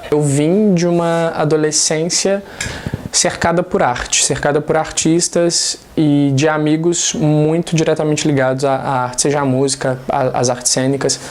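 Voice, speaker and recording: A man, a journalism student, speaking in a documentary interview in a relaxed, unhurried and self-deprecating way. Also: on the high side, slight background noise.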